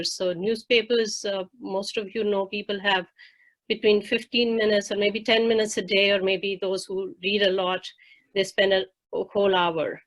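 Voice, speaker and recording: Woman, measured explainer. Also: medium pitch, a bit bright. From India. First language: Hindi. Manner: measured, explanatory, with frequent fillers. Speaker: faculty member. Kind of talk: roundtable discussion.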